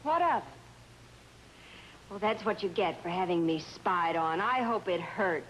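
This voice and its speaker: An actress playing a bitter, defiant younger wife in an old-time melodrama, sharp and scornful in delivery. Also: female voice, fairly low pitch, animated, a little slow. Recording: noisy vintage TV drama soundtrack.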